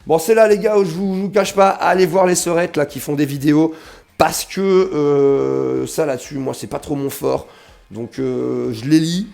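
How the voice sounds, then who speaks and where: A man's voice: on the high side, moderate pace, creaky, a little mellow. A young man with a slight cold giving casual, slangy gaming commentary to his viewers, often reading game text aloud. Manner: chatty, slangy, addresses viewers as "les gars", reads game text aloud. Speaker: mobile game video creator.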